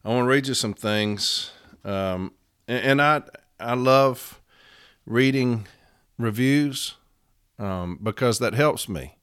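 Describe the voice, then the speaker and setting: A middle-aged man, hosting a casual podcast, voice hoarse and worn out, talking bluntly and rambling with many fillers. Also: mid-range voice, slow, a bit bright, dry recording, studio quality. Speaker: podcast host.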